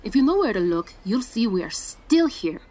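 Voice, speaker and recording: An actress playing a proud, teasing makeup and hair artist. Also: female voice, on the high side, big pitch swings, normal speed, darkish tone. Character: Jemida, warm Puerto Rican makeup artist.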